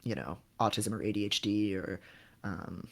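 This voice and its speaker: A man's voice: on the low side, normal speed, gravelly. A man hosting a casual livestream, giving frank advice in a conversational, somewhat hesitant way. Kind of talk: casual livestream chat, advising.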